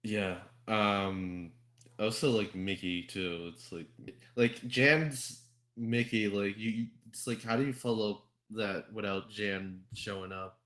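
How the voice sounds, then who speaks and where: A man with a fairly low voice hosts a casual gaming podcast. He rambles, circles back on his words and swears freely. The recording has a clearly roomy echo. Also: slow pace, fairly bright tone. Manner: rambling, repetitive, heavy swearing and filler words. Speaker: podcast host. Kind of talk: casual group podcast chat about games and fandubbing.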